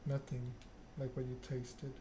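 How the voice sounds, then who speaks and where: A man narrating game text aloud in an ASMR style, in deliberate short phrases with the odd misreading. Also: mid-range voice, monotone, background noise. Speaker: video game streamer reading a story game aloud.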